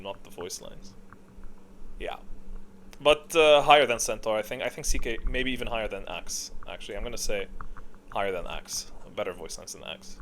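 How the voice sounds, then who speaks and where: A man's voice: medium pitch, fairly bright tone, slight background noise. A man giving casual, unscripted gaming tier-list commentary in a rambling, opinionated way. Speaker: video game content creator.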